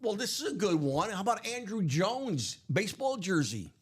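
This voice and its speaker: A slightly high-voiced man hosts a live break, rambling along in easy chatter with lots of "uh"s. His voice is wearing thin and hoarse as he talks on. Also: very animated, normal speed, noisy background. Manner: chatty, rambling, many fillers. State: losing his voice. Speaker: live card break host. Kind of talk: casual live stream chat.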